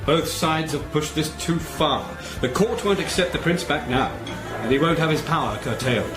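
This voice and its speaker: A man's voice: on the high side, moderate pace, natural voice. Actor playing world-weary man, dry, cryptic, echoey, music behind, radio drama.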